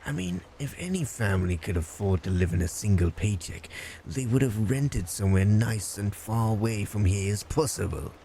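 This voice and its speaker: Casting: male actor as unhinged yandere boy next door, gravelly, wildly swinging pitch, blunt and sardonic, for roleplay audio. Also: lively intonation, warm tone, slight background noise. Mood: taunting, sardonic. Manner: sardonic, halting, breaking into giggles and mutters. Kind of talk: roleplay audio drama addressed to the listener.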